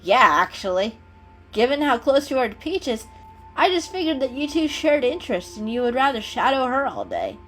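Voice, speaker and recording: A cheeky, confident young male house cat, voiced by a woman, talks in a breezy, casual storybook style. The voice is highish and very animated, with faint music underneath. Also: moderate pace. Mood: surprised. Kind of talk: dramatic children's story reading.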